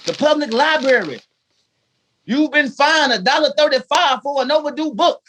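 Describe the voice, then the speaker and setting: A man's voice: extremely high pitch, lively intonation, normal speed, bright, forceful tone, heavily acted. A man playing a loyal but cheeky household valet in 1950s radio comedy style, with dry, sassy punchlines. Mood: wry.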